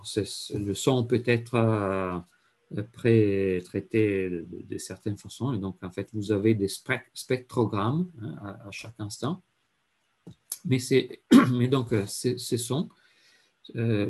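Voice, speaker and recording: A man with an Italian accent lecturing in French, halting with many fillers and repeated words, and often clearing his throat. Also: lowish voice, a little mellow. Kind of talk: university lecture.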